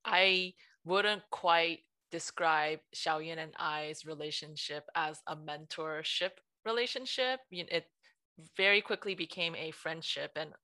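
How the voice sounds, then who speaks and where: A woman's voice: low voice, unhurried, bright, forceful tone, no room sound. A woman co-host asking the guests a thoughtful follow-up question.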